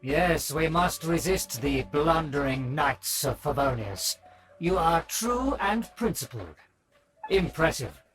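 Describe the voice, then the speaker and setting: Pompous high-pitched nobleman. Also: animated, slow, over music. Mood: condescending approval. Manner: pompous, formal, archaic wording. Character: pompous, haughty aristocratic nobleman, easily flattered. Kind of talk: scripted video game character acting.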